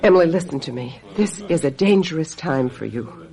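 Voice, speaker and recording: A blunt, brooding, sharp-tongued sister. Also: female voice, low-pitched, animated, normal speed. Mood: brooding, ominous. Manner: blunt, insistent, knowing. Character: sharp-tongued, brooding psychic older half-sister, protective and controlling. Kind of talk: radio mystery drama acting.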